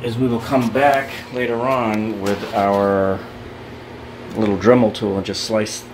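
A man's voice: on the low side, little pitch movement, a little slow, noisy background. Man explaining a bathroom fix. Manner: practical, explains step by step with fillers. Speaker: DIY remodeling host. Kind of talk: hands-on how-to narration. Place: home bathroom, indoors.

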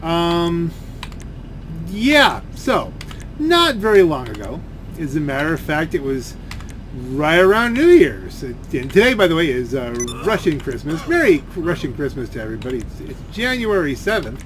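A man giving rambling, complaining gameplay commentary, halting and repeating his words as he goes. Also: a little high, big pitch swings, fairly bright tone. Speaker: gaming video creator. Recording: game and engine noise behind voice.

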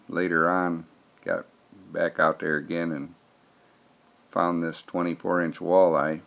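Middle-aged man, creaky voice, even intonation, plainspoken casual narration. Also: low voice. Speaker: vlogger narrating fishing trip.

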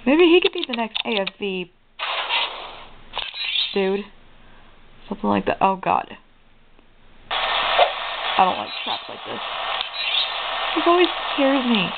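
A young-sounding let's play host with a raspy voice, giving casual commentary on gameplay. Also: medium pitch, sing-song, slow pace, long pauses. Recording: muffled phone-like audio, clear noise, clicks.

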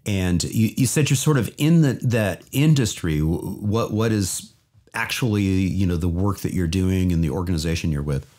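Host: middle-aged man, deep voice, upbeat and welcoming. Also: animated, moderate pace, a little mellow. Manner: upbeat, enthusiastic. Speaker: podcast host. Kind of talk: podcast interview.

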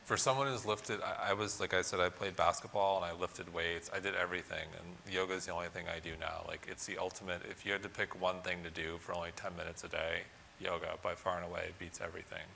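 A man's voice: low voice, fairly even intonation, fast, vocal fry, sharp tone, a little hiss or hum. A man co-presenting on stage, casual and joking, sharing his own experience. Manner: joking, casual, self-deprecating. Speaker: co-presenter and demonstration volunteer.

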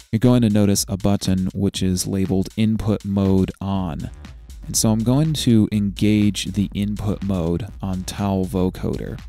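A man narrating a step-by-step software tutorial in a methodical, explanatory way. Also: lowish voice.

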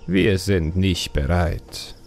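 A man puts on a young male troll sidekick for an audiobook. He is boastful yet ominous, drawing out his words in a croaky voice over music. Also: low-pitched, very slow, darkish tone, heavily acted. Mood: wary.

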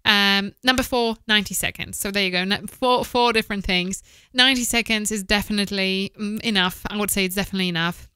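A woman host gives casual, practical advice in a bright, forward voice. Her sound is exceptionally clean and resonant. She pauses to think and repeats words for emphasis, close on the mic in a dry small office. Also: mid-range voice, fairly even intonation, smooth, no echo. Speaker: host, voice-over producer. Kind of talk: casual instructional podcast talk to camera. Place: small home office, indoors. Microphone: close studio microphone with foam windscreen, headphones.

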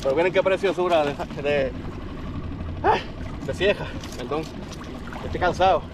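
Excitable high-voiced Puerto Rican man, very noisy outdoors. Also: moderate pace. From Puerto Rico. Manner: excitable, exclaiming, talking to viewers while fishing. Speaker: kayak fishing vlogger. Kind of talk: casual on-the-water fishing commentary. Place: outdoors on a kayak at sea. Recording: loud wind, water and boat noise. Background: open water and boat noise.

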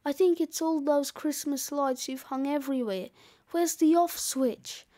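A woman voicing a grumpy, cheeky male snowman in a children's audiobook, gruff and slangy. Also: high voice, measured pace, dark tone, no echo, studio quality. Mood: smug, brash. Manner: slangy, brash, dismissive.

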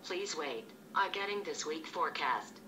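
A synthesized female voice of a tablet assistant app, answering in stilted, flat phrasing. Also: low voice, moderate pace. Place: small room at a desk. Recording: far-off tablet speaker, noisy room.

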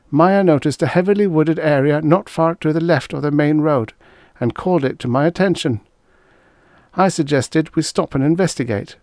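A frightened young army botanist , acted out by a male audiobook reader, reports in stiff military language. His voice is raised well above the reader's own, nervous and halting, in a dry, close sound. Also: a little high, a little mellow, no room sound, voice pushed up, close to an everyday voice.

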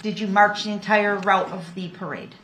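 A woman's voice: medium pitch, fairly even intonation, moderate pace, fairly bright tone. Echoey American woman questioning formally. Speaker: prosecutor questioning witness. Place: indoor courtroom. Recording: echoey courtroom with faint noise.

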